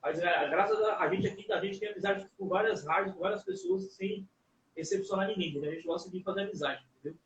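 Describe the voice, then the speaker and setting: A man's voice: high-pitched, big pitch swings, fast talker, echoey room, a little hiss or hum. Distant, muffled radio host man. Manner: friendly, repeats "entendeu?". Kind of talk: casual interview chat.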